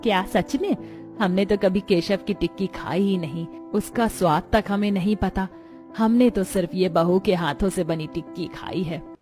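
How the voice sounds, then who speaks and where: A woman voices a humble, motherly mother-in-law in a family cartoon. She chats in a homely way at a middling pitch, with faint music behind. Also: normal speed, a little mellow, natural voice. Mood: welcoming, resigned.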